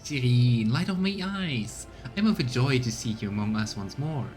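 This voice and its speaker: A man's voice: mid-range voice, expressive, moderate pace, darkish tone, faint music behind, lightly acted. A man playing a reverent, formal ship's officer. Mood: overjoyed, devout. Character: devoted, solemn officer greeting a revered lord.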